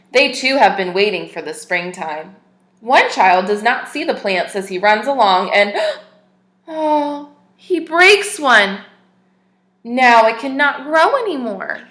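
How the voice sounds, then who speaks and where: A teacher reading a storybook aloud to little kids, her voice bright and a touch high for a woman. She reads with lively expression and pauses to quiz her listeners. The sound rings in an echoey room. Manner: expressive, asks the young listeners questions. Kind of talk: reading a picture book aloud to children.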